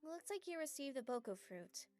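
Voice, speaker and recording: Woman as a gentle, higher-voiced anime heroine. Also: big pitch swings, normal speed, soft background music. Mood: calm, explaining. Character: gentle, earnest young fantasy heroine. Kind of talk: anime fan dub acting.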